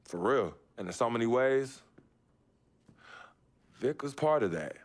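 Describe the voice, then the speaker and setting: An actor playing a young star basketball player in a video game cutscene, telling his story in a relaxed, laid-back way. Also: medium pitch, a little slow. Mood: reflective.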